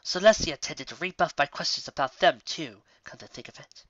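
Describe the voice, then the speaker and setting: A man's voice: fairly high pitch, expressive, fairly quick, pushed and bright, dry recording, lo-fi sound, pitched above the performer's own voice. A man voicing a haughty, theatrical female pony princess of the night in a fan story, with formal old-fashioned wording. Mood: pensive.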